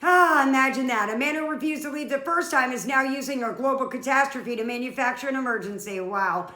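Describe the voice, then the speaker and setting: Comedy skit: female performer as sardonic, exasperated office coworker, withering and articulate, bright tone, echoey room. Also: high-pitched, fairly quick. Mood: deadpan, withering. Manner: dry, withering, articulate sarcasm.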